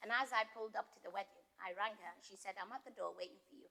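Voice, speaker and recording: Gravelly-voiced woman giving a farewell speech. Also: mid-range voice, racing, bright, forceful tone, rough recording. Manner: heartfelt, joking, emphatic speech. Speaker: retiring Lord Mayor. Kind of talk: farewell speech at a council meeting. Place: council chamber.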